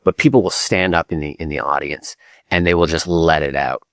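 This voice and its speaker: A Canadian man answering interview questions in a grave, measured way, slowing down to stress words. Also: very deep voice, dry recording, clean studio sound. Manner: grave, measured, slows for emphasis. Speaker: guest writer.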